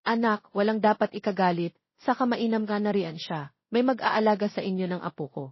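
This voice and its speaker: A woman voicing a forgiving older father in an audio romance story, calm and reassuring. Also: medium pitch, a little fast, breathy edge, no room sound, close to an everyday voice. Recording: dull lo-fi, close dry sound.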